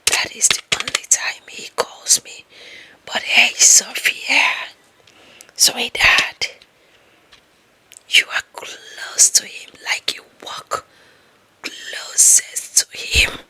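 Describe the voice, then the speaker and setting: A woman with an African accent playing a possessive, lovestruck young office employee in a breathy, whispered ASMR roleplay, coaxing and fawning with slow emphasis. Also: fairly high pitch, steady tone, pushed and bright, dry recording, exaggerated voice. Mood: conspiratorial coaxing.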